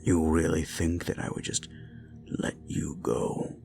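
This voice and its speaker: A man playing a cruel, menacing slasher killer in a horror audio roleplay, taunting a captive in a gravelly, breathy half-whisper. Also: very deep voice, flat delivery, a little slow, exaggerated voice. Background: music.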